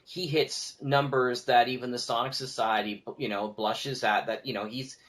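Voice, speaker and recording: A man's voice: mid-range voice, steady tone, normal speed, fairly bright tone. A man talking casually as a guest on a podcast, speaking emphatically and repeating key words for stress.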